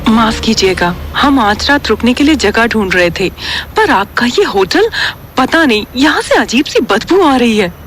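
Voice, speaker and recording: A woman's voice: fairly high pitch, very animated, a little slow, hardly any pauses, a bit bright, soft background music. A woman playing a haughty, fussy young traveller in an audio horror drama. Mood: polite unease.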